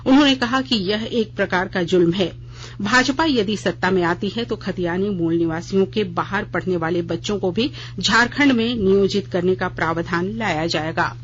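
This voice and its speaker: A news reader delivering a scripted radio bulletin in a formal, measured way. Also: mid-range voice, a bit bright. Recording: dull lo-fi radio audio with noise and hum.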